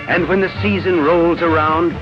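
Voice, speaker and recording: A man's voice: fairly high pitch, steady tone, normal speed, over music. A man narrating in a scripted 1950s newsreel style. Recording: muffled archival film soundtrack.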